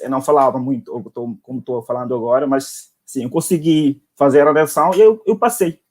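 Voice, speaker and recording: A man's voice: mid-range voice, a little mellow. A young man from Timor-Leste with a Timorese accent, speaking Portuguese as a non-native language. He gives a casual account as an interview guest, often searching for words and repeating phrases. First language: not Portuguese. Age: young adult. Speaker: guest, international university student.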